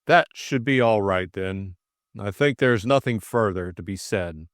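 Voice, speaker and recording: A man voicing a cool, commanding Russian conspirator in a measured, formal way, in an audiobook drama. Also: fairly low pitch, no echo, clean studio sound, close to an everyday voice. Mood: businesslike, commanding.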